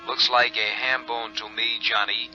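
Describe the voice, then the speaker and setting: A man's voice: medium pitch, flat delivery, measured pace, pushed and bright. A man acting out terse telegrams in a declamatory style for a 1950s radio drama. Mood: brisk, terse. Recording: muffled vintage radio recording with music.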